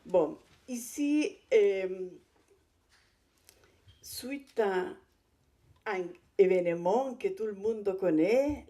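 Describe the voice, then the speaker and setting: Female guest speaker, native Spanish with Chilean accent. Unhurried, soft-edged tone, hesitant phrasing, presenting at a conference. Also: mid-range voice. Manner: hesitant, halting delivery with non-native phrasing. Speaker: guest speaker, visual artist.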